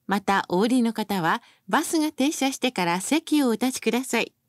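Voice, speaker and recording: A woman delivers recorded bus announcements in a fairly high voice with a lively, melodic rise and fall. She is formal and courteous and articulates each phrase carefully. The sound is close and dry, with very little room around it. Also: normal speed, no echo. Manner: formal, polite, carefully enunciated.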